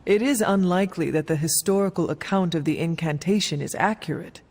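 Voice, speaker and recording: A woman's voice: fairly low pitch, fairly quick, darkish tone. A woman playing a solemn, earnest warrior in a video game, speaking formally and literally. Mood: skeptical. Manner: formal, literal, few contractions. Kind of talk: video game cutscene acting. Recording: long reverb, music behind.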